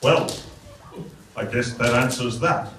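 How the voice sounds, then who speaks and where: A man's voice: mid-range voice, takes it slowly, distant mic, background noise, lightly acted. A man as a smug superhero in an echoey theatre. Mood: deadpan. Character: smug, righteous male superhero, cheerfully moralising. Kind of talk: live radio serial parody.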